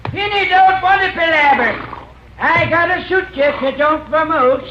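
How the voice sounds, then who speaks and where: A man's voice: very high voice, normal speed, sharp tone. A man playing a cranky, trigger-happy old miner with drawling rural Western American speech in an old radio drama. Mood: suspicious, threatening. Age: older. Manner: drawling hillbilly talk. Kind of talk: old-time radio comedy-drama acting. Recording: dull, echoey, noisy old radio recording.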